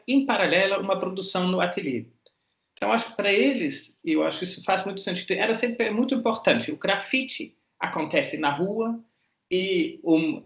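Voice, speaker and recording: Hesitant museum curator, echoey room. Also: male voice, sits high, fairly bright tone. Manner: thoughtful, searching for words with many restarts. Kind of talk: interview answers. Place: art museum gallery.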